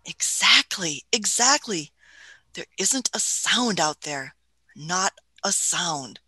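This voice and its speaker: A woman's voice: lowish voice, lively intonation, takes it slowly, pushed and bright, breathy edge, dry recording, heavily acted. A woman playing a fussy, pompous time-travelling scientist in a radio play, with old-fashioned phrasing. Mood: pondering.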